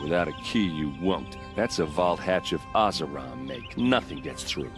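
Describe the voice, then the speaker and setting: An actor playing a gruff, wry and earnest warrior in a video game. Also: male voice, low-pitched, animated, normal speed, over music. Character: gruff, wry warrior man, grieving and loyal.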